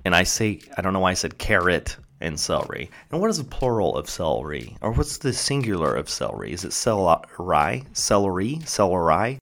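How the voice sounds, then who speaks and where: A man's voice: fairly low pitch, fairly bright tone. A man hosting a solo podcast, rambling from tangent to tangent with sarcastic, crude jokes, sometimes chewing while he talks. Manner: joking, sarcastic, tangent-prone, crude humor. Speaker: podcast host.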